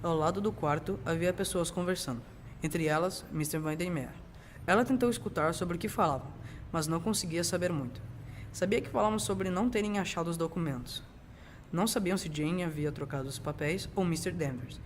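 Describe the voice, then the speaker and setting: Boy narrator, early teens, high-pitched voice, scripted radio serial read, slightly noisy amateur recording. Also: a little fast. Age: young teenager.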